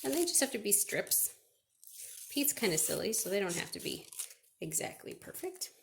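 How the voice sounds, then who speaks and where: A woman's voice: medium pitch, gravelly, slight background noise. A woman chatting through a step-by-step craft for children, asking questions and answering them herself. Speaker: children's librarian leading a craft.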